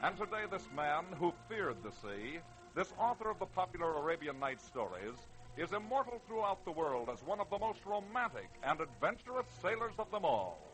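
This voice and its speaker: A man's voice: a little high, big pitch swings, normal speed, fairly bright tone, faint music behind, rough recording. An American man hosting and narrating an old radio show in an emphatic, theatrical scripted style. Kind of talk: 1930s-40s dramatic scripted narration.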